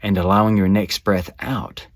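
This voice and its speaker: A man narrating a scripted guided meditation as a guide, with measured, soothing, instructional phrasing. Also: deep voice, steady tone, no room sound.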